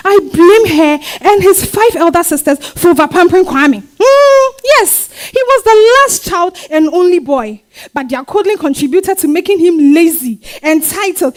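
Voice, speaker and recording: A woman with a Ghanaian accent acting a defiant, exasperated wife and mother in a dramatized stage reading. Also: very high-pitched. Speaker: stage actor.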